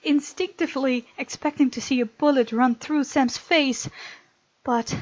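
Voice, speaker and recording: A woman hosting a let's play, reading a visual novel aloud as story narration with playful, expressive asides. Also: sits high, measured pace, no room sound. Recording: dull, lo-fi sound with music behind.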